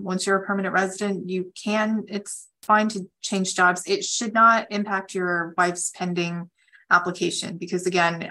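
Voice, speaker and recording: Female attorney on a call-in, bright clear-cut tone, slightly low pitch, explanatory and matter-of-fact. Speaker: immigration attorney answering callers. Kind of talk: advisory question-and-answer on a conference call.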